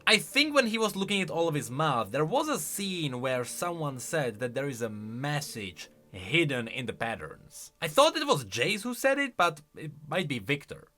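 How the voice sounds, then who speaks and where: A man giving casual reaction commentary on video game lore, expressive and emphatic as he thinks aloud. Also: on the high side, normal speed, hardly any pauses. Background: music.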